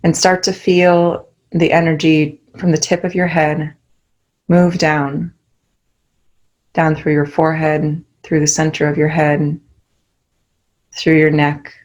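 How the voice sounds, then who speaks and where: A young woman leading a guided meditation, giving soft, soothing instructions. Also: sits low, flat delivery, takes it slowly, pauses often, rough recording.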